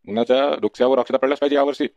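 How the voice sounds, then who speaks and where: A man's voice: mid-range voice, steady tone, racing, darkish tone, no room sound, lightly acted. A man voicing a bossy, nagging farmer father exasperated by his son in a comedy cartoon, with a folksy Varhadi Marathi accent. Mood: insistent. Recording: dull, lo-fi sound.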